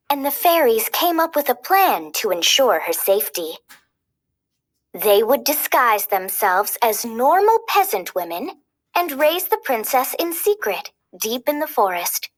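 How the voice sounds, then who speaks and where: A woman reading a children's storybook aloud as a storyteller, expressive and clearly paced. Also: fairly high pitch.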